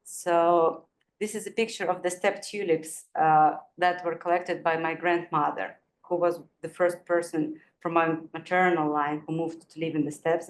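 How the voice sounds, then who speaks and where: Low-voiced woman academic in echoey room. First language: not Kazakh; Kazakh is her second language. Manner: hesitant, many fillers, light laughs. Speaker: panelist. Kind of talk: academic panel talk. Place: university event room. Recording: echoey room with faint noise.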